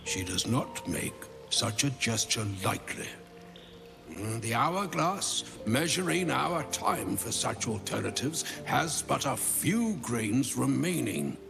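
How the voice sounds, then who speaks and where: A man's voice: mid-range voice, big pitch swings, takes it slowly, creaky, over music, heavily acted. A man playing a wise, grandfatherly old wizard in a fantasy game, with theatrical, pompous delivery and ornate phrasing. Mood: solemn. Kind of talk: fantasy video game acting.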